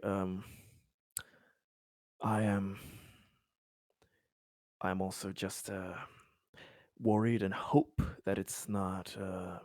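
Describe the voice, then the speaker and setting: A man improvising as a player in a tabletop roleplaying game, halting and hesitant, often stammering over repeated words. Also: lowish voice, a little mellow, dry recording, clean studio sound.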